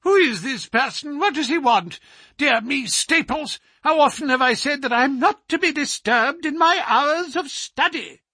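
A man voicing a small, frail, sinister older man with a high, petulant, penetrating voice that turns shrill and screaming in anger. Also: animated, moderate pace, bright, forceful tone, dry recording, clean studio sound, full character voice. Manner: shrill, querulous, screaming when angry.

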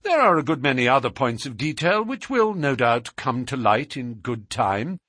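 Male narrator as suave detective, audiobook, wry, unruffled, politely ironic, very animated intonation, dry close recording. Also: medium pitch, normal speed, no room sound. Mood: wry, courteous. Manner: courteous, ironic, precise, unruffled. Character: urbane, wry, self-assured English gentleman detective.

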